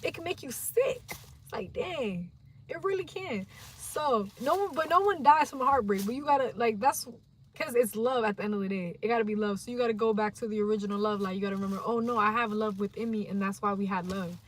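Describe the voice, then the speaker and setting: A young woman livestreaming, chatting casually and rambling in a slangy, animated way to her viewers. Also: a little high, fairly quick, a little hiss or hum, poor audio.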